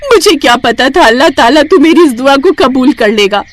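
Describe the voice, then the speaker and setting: A woman's voice: high voice, animated, normal speed, slight background noise, pitched above the performer's own voice. A woman playing a poor, devout mother in a children's story, emphatic and dramatic as she scolds or pleads. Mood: anguished pleading. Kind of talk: children's animated moral story acting.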